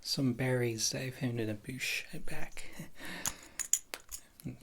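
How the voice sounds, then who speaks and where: A woman playing a wry witch healer in an ASMR fantasy roleplay, speaking softly and often slipping into a whisper, in a rambling, casual, reassuring way. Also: a bass voice, slow, creaky, slightly rough, heavily acted. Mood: offhand, wry. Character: wry, thrifty witch healer, a former adventurer.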